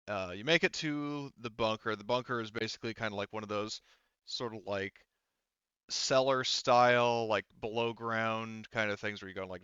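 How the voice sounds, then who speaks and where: Bright, halting male game master, slightly dull audio. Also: lowish voice, clean tone, no echo. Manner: halting, rambling, conversational. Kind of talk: casual improvised tabletop game narration.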